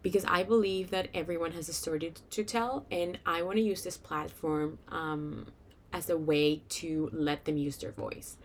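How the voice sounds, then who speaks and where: Low-pitched young Venezuelan woman podcasting. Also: steady tone, normal speed, slight background noise. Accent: Venezuelan Spanish. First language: Spanish. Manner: chatty, informal, giggles often while talking. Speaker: podcast host. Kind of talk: casual solo podcast monologue.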